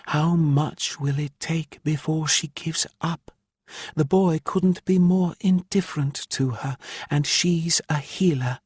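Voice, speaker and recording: Man voicing an exasperated wife, pitched far higher. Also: steady tone, a little mellow, husky, dry recording. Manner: edgy, drawn-out emphatic speech. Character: irritated, exasperated wife and mother, female healer.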